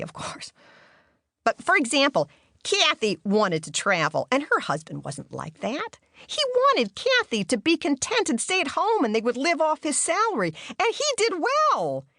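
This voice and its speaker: A woman's voice: medium pitch, fairly bright tone, dry recording, studio quality, voice pushed up. A woman playing an older small-town mother who rambles and corrects herself as she passes judgment, in a theatrical monologue. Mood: gossipy, animated.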